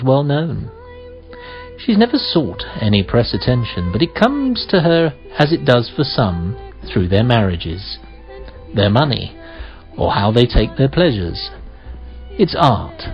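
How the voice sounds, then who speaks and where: A man narrating a radio play as a deliberate, musing storyteller, with rhetorical pauses and questions. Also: medium pitch. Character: measured, musing male storyteller of a mystery tale. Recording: slightly dull audio with faint music.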